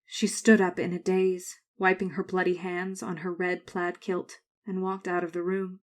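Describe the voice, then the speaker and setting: Woman as a lowish-voiced, haunted horror narrator. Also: completely flat delivery, moderate pace, natural voice. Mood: somber. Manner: grave, suspenseful storytelling. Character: haunted grown woman narrating her frightening schooldays. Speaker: narrator and main character. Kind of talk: scripted first-person horror narration.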